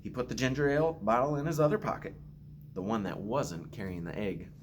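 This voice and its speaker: A man who is a school principal reads a picture book aloud to children with expressive, simple storytelling phrasing. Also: mid-range voice, brisk, a little hiss or hum. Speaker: school principal reading to pupils.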